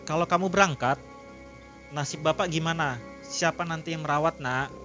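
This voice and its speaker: A man's voice: fairly high pitch, normal speed, pushed and bright. A man voicing a worried elderly village father in a storybook reading, pleading with anxious questions. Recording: music clearly behind the voice.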